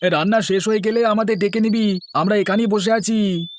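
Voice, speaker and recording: A man's voice: high-pitched, fairly even intonation, normal speed, a little hiss or hum, close to an everyday voice. A man voicing a plaintive, anxious young brother in a cartoon story. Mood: anxious, demanding.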